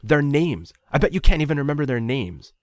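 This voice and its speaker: Audiobook: a man doing a stubborn, desperate young man, raised pitch, insistent. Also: sing-song, a little fast, no echo, studio quality. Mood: urgent, insistent. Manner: pushing, insistent.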